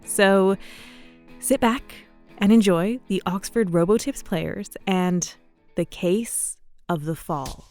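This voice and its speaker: A woman's voice: medium pitch, slow, a little mellow, soft background music. A woman presenting a podcast, reading scripted narration as its host.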